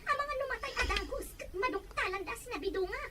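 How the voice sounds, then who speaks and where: A woman's voice: very high-pitched, animated, fast talker, crowd noise. A woman acting an agitated, scheming woman in an Ilocano-accented radio melodrama. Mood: conspiratorial.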